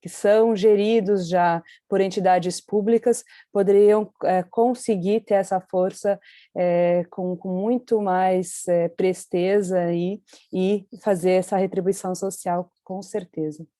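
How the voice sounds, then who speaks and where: A Brazilian woman speaks very slowly in a mellow, relaxed tone with little room sound. She is taking part in a panel discussion, pausing often to build her sentences. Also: medium pitch, no echo, studio quality. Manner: halting, pausing to assemble sentences.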